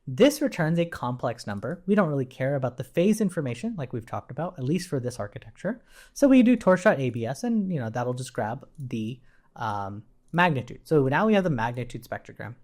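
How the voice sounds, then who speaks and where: A man's voice: medium pitch, sing-song, brisk, darkish tone. A man giving an informal technical code walkthrough as a tutorial instructor, conversational and often checking in as he explains. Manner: conversational, often tags points with "right?".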